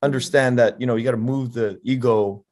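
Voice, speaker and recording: A man's voice: mid-range voice, steady tone, fairly quick, darkish tone. A middle-aged man hosting a podcast interview, asking questions in a hesitant way and often repeating words. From United States. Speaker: podcast host. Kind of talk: conversational interview.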